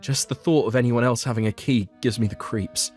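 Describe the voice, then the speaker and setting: A man's voice: mid-range voice, fairly even intonation, fairly quick, a little mellow, faint music behind, lightly acted. A man playing an earnest, anxious young office worker who narrates his own story in a manga dub. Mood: uneasy, bewildered.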